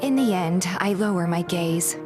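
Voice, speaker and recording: A woman's voice: fairly low pitch, normal speed, over music, close to an everyday voice. A woman playing a feisty, suspicious young woman who narrates her own story in a game fan dub. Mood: hesitant narration. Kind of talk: scripted first-person narration and game dialogue, fan dub.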